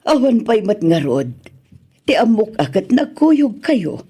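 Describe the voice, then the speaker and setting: A woman playing a mild older mother in a radio drama, speaking in a hesitant, halting manner. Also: mid-range voice, very animated, warm tone, slightly rough. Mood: concerned.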